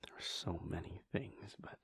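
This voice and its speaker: A man voicing a weary, devoted male vampire prince in a soft, intimate whisper, speaking in slow, halting, heartfelt confessions. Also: dry recording, close to an everyday voice. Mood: tender, hushed. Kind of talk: whispered audio roleplay.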